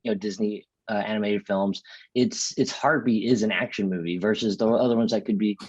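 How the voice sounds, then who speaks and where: A man's voice: on the low side, fairly even intonation, fairly quick, darkish tone, slightly rough, no echo. A man being interviewed as a filmmaker, speaking casually, on a dull lo-fi recording. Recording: dull, lo-fi dry audio.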